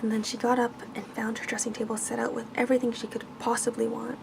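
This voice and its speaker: Female ASMR storyteller, hushed scripted bedtime reading, creaky edge, clear background hiss or noise. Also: on the high side, flat delivery, quick pace.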